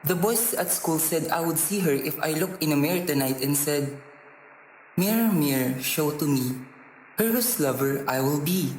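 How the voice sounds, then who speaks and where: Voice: medium pitch, moderate pace, a little mellow, a little hiss or hum, close to an everyday voice. An actor playing a young grandson, an innocent, cheeky and curious schoolboy, in a radio drama. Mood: sheepish. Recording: echoey room, faint music.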